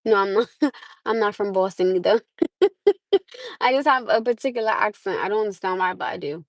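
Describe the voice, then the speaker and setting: A woman's voice: medium pitch, expressive, moderate pace, dry recording. A woman from the United States chatting live with her viewers from her parked car on a phone camera, laughing often and calling them "my love.". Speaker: livestream host. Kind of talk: casual live chat with viewers.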